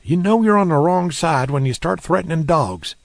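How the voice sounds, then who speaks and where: A man doing a high, scolding old townsman. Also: lively intonation, normal speed, vocal fry, no room sound, clean studio sound.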